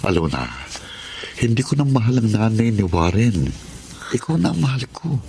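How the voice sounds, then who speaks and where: A middle-aged seducer with a gravelly voice and very lively pitch. He is a sly, coaxing stepfather in Filipino radio-style melodrama. Faint noise sits behind him. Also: moderate pace. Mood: coaxing, amorous.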